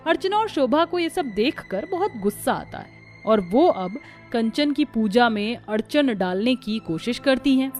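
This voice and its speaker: High-pitched woman narrating a cartoon story. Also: expressive, a little slow, a little mellow, soft background music.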